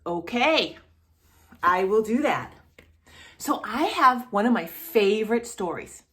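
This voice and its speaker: Very animated, slow-paced woman storyteller for kids. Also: mid-range voice. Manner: animated, addresses the young listener with questions. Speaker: children's storytime host reading a picture book aloud. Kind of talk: read-aloud storytime for young children.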